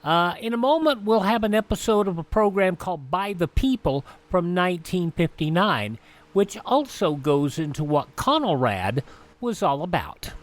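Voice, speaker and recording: A man's voice: sits high, big pitch swings, moderate pace. A man hosting a podcast, introducing old-time radio programs. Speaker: podcast host.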